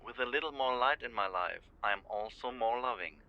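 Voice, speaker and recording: A man's voice: mid-range voice, moderate pace, lightly acted. A man playing eager Philip over a dull line. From Germany. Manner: eager, tumbling questions. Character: warm middle-aged man, Philip on phone.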